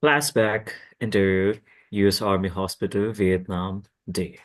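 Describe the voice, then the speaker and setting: A man's voice: low voice, steady tone, measured pace. A man narrating a script at a table read, reading out stage directions.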